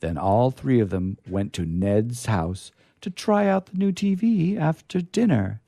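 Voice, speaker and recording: A man reads and hosts in a playful, theatrical way. His medium-pitched voice swings widely with exaggerated emphasis and offhand asides. The sound is dry and close. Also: big pitch swings, a little slow, gravelly, dark tone, no room sound. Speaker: storyteller and show host. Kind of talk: live comic story reading with sign-off.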